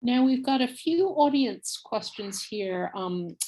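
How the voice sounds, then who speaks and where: A woman's voice: on the high side, lively intonation, slow, dark tone, poor audio. A woman moderating a panel discussion, asking inquisitive questions and reading out audience questions.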